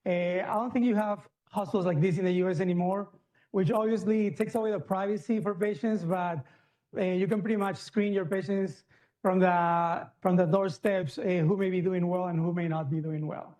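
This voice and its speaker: A man's voice: high-pitched, steady tone, moderate pace, darkish tone. A middle-aged man from Peru, a surgeon giving a chatty medical lecture, full of fillers and restarts.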